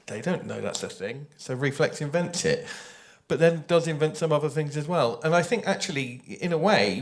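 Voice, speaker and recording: A man of medium pitch speaks as a writer on a live discussion panel. He is thoughtful and unscripted, pausing and restarting as he works through his ideas into a microphone. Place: live panel at a games festival. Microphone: handheld or shared panel microphone.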